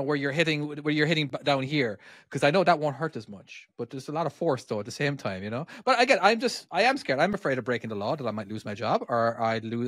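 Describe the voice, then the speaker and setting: A man's voice: on the high side, a bit bright, no echo, clean studio sound. Rambling, swearing podcast man. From Ireland. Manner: rambling, swears a lot, many restarts. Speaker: podcast co-host, truck driver. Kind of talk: casual podcast chat.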